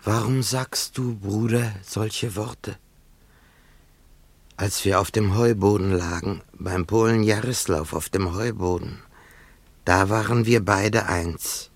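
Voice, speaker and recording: An actor playing a solemn, haunted scissors grinder who survived a massacre, in a poetic radio play with a halting, incantatory delivery. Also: male voice, lowish voice, fairly even intonation, no room sound. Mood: haunted, intense.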